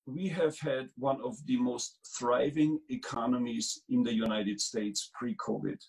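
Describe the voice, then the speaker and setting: A man's voice: medium pitch, a little mellow, low-quality recording. A man from Austria speaking earnestly over a video call as a guest in a webinar interview, often restarting his sentences with fillers. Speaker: state trade director, guest speaker.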